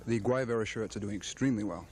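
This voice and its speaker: A man's voice: lowish voice, quick pace, darkish tone. A man answering as a store employee in an archival television news interview. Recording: archival TV interview, faint noise.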